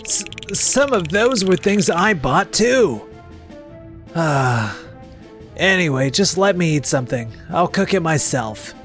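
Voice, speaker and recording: A man's voice: a little high, sing-song, takes it slowly, fairly bright tone, faint music behind, full character voice. A man playing a proud, impulsive male chef with a defensive manner in a comic dub. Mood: sheepish, defensive. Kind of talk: anime-style comic dub acting.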